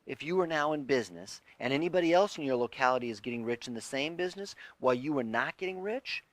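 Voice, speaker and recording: A man narrating a self-help audiobook in a deliberate, emphatic, instructive way. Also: mid-range voice, lively intonation, dry recording. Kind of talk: scripted audiobook reading of a self-help text.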